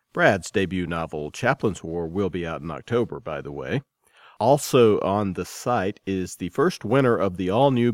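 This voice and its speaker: A man's voice: on the low side, no echo. A man reading scripted narration as the host and editor presenting a podcast.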